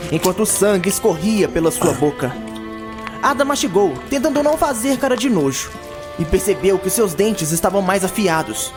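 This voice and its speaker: A man reads as the narrator of a fantasy audio drama. His voice is pitched high for a man. He tells the tale from a script, with music clearly underneath.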